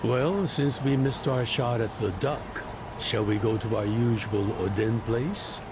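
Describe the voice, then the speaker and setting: An actor voicing a calm, fatherly middle-aged yakuza boss, measured and gently admonishing, in an English-dubbed game. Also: mid-range voice, background noise, close to an everyday voice. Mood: instructive.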